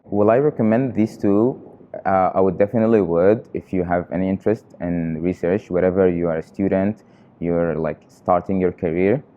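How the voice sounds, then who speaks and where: A young man from Qatar, a research trainee, answering interview questions in an earnest, halting way with frequent pauses. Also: low-pitched, steady tone, narrow, tinny audio. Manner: earnest, halting, often pausing on fillers.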